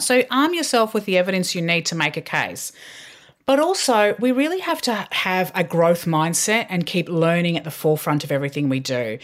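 Podcast guest: middle-aged woman, communications leader, earnest, self-correcting delivery. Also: medium pitch. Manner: earnest, with frequent restarts and fillers. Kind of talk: podcast interview, candid storytelling.

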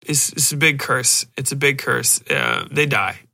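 A man's voice: medium pitch, unhurried, vocal fry, pushed and bright. A man hosting a podcast, giving a casual, rambling film review in a blunt, profane, sardonic way with exasperated asides. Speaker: podcast host reviewing horror films. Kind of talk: casual spoken movie review.

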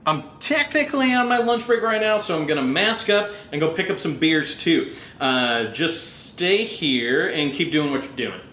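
A man's voice: fairly high pitch, animated, moderate pace, few pauses, sharp tone, echoey room, slight background noise. A man acting a brash, upbeat, sweary young roommate in a naturalistic audio drama, breezy and cajoling. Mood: excited, cajoling.

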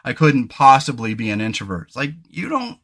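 A man's voice: very animated, fairly quick, fairly bright tone, breathy edge. A man hosting a podcast in casual chat, talkative, swearing casually and joking about himself. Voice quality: deep booming voice. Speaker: podcast host.

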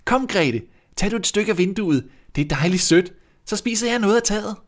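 A man voicing an eager, brave young boy in a fairy tale, speaking high and excitedly. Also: lightly acted.

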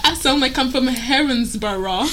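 A young woman with a Glaswegian accent, chatting casually and often breaking into giggles. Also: high-pitched. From Glasgow, Scotland. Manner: giggly, quick to laugh. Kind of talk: casual chat.

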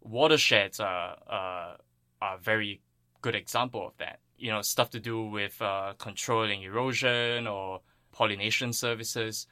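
A man's voice: fairly low pitch, pushed and bright, clean tone, no echo. A man from Singapore giving earnest, thoughtful explanations as an interviewed plant ecologist.

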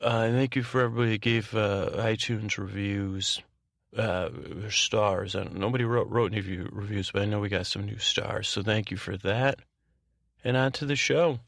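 A man with a fairly low voice and plenty of fry hosts a bedtime podcast. He meanders, doubles back on his words and leaves phrases hanging. The recording is close and dry. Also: little pitch movement, fairly bright tone, no room sound. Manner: halting, meandering, repeats and trails off. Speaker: podcast host. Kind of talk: rambling bedtime podcast monologue.

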